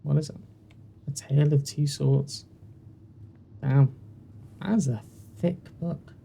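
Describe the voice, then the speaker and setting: A man's voice: on the high side, steady tone, takes it slowly, creaky, mellow, breathy edge. A man with a southern English accent giving chatty, self-deprecating let's play commentary. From southern England. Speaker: host of a gaming series. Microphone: poor-quality mic with uneven levels. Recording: poor mic with faint noise.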